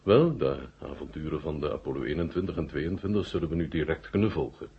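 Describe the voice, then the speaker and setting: An actor playing a courteous, shrewd Soviet marshal in a radio drama, speaking in a measured, formal, self-assured way. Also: male voice, extremely low pitch, animated, slightly rough, exaggerated voice. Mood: grave, explaining. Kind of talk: 1970 Dutch radio drama acting. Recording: dull 1970 radio recording.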